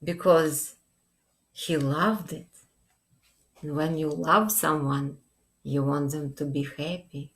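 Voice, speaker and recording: A middle-aged woman reading a picture book aloud for young children, gently and expressively, pausing to ask questions. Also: sits low, steady tone, rough recording. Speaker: children's librarian. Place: small indoor room.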